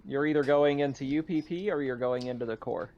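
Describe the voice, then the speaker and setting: A man with a medium-pitched voice runs a tabletop game, explaining casually and matter-of-factly. The recording is dull, with keyboard noise behind him. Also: brisk, a little mellow. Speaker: game master.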